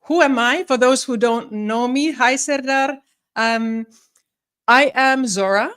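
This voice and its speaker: A woman's voice: high voice, slow pace, fairly bright tone, smooth. A woman from Finland in her forties, a psychologist and leadership coach, giving a live-streamed talk with many ums and restarts. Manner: friendly, many ums, restarts, slightly formal phrasing.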